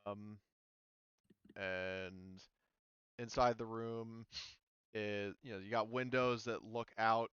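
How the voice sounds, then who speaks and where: A low-pitched man acts as game master, improvising the narration in a halting, casual way. His voice is smooth, and the slightly dull recording is close and dry. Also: steady tone, fairly bright tone, no room sound. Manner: halting, casual, mildly profane.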